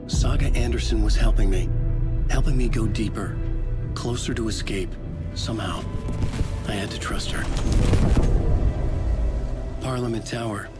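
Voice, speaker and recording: An actor playing a trapped, haunted writer, urgent and desperate, in dramatic game cutscene style. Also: male voice, lowish voice, a little slow, pauses often, natural voice. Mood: brooding. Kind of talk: dramatic game cutscene dialogue and brooding narration. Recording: loud music under the voice.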